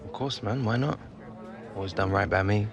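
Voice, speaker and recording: An actor playing a modest, loyal young English footballer in a naturalistic game cutscene. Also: male voice, lowish voice, moderate pace, crowd noise, lightly acted. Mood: casual, defensive. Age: young adult.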